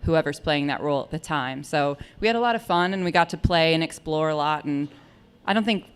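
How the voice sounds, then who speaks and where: Actress from LA, lowish smooth voice, thinks out loud w/ lots of ums. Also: female voice, fairly quick. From Los Angeles, California. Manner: thoughtful, hedging with fillers. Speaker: stage actress. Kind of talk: casual talkback answer.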